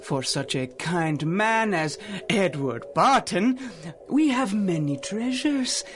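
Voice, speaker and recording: An actor playing an obsequious, sly keeper of an exotic curio shop in a radio drama, speaking with flowery, servile formality. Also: male voice, high-pitched, slow, a little hiss or hum, exaggerated voice. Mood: servile flattery. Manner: flowery, servile flattery with formal old-fashioned phrasing. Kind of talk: 1930s-set radio drama acting.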